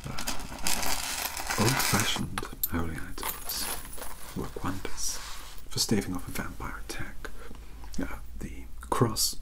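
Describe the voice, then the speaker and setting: A man playing a young, bookish British vampire-hunting mentor in a soft-spoken ASMR roleplay, polite, awkward and formal, with hesitant, stammering phrasing. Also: lowish voice, poor audio.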